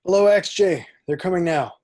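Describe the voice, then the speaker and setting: A man playing a brisk, bossy space rogue with a slightly husky voice, reading a film script. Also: high voice, a little mellow. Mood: commanding. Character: brisk, bossy, roguish male rebel pilot hero. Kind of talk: table-read acting of a film script.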